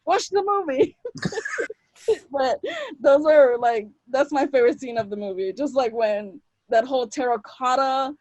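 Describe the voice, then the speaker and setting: A woman on a casual movie review panel, animated and laughing as she recalls things. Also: sits high, a little slow, dry recording, lo-fi sound. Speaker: podcast panelist.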